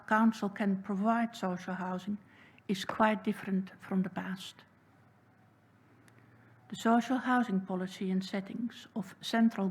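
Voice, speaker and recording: A woman with a Dutch accent delivers a prepared submission to a council hearing. She speaks in a measured, deliberate and earnest way. Her pitch sits at an ordinary middle level. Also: a little mellow, husky. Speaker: public submitter. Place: council chamber.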